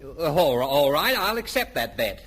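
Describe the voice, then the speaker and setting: A man's voice: on the high side, big pitch swings, measured pace, a bit bright, slightly rough, heavily acted. An actor playing a vain, blustering father who fancies himself a hypnotist, in old-time radio comedy style. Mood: boastful. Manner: pompous, indignant, stammering when flustered. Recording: old radio recording with background hiss.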